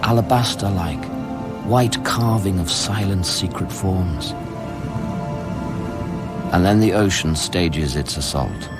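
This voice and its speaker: Low, haunted male scientist over music. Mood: awed, solemn. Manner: measured, brooding, literary, sometimes dropping to a whisper. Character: haunted male scientist recounting eerie events aboard space station. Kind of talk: dramatic first-person radio play narration. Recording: radio play with music underneath. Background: prominent music.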